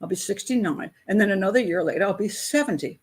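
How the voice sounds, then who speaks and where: A woman's voice: mid-range voice, animated, fast talker, slightly rough. Older woman chatting candidly. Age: 60s. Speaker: host of a crime-profiling channel. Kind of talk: casual live chat with patrons.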